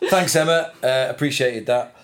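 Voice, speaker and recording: A man's voice: medium pitch, moderate pace, fairly bright tone, soft background music. A man hosting a news discussion podcast, presenting topics and putting questions to guests. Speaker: podcast host. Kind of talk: scripted introduction and news discussion.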